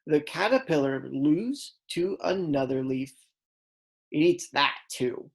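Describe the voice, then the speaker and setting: Low-voiced woman reading slowly to young children. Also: animated, husky. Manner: deliberate, instructional, stressing key words. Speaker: kindergarten teacher reading aloud. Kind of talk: read-aloud lesson for young children.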